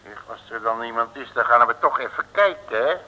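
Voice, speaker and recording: An actor playing a nervous, blustering, folksy detective in a comic radio play from around 1960, grumbling in excitable colloquial speech. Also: male voice, medium pitch, brisk, heavy background noise, close to an everyday voice. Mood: bossy, wary. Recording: old muffled radio recording with long reverb.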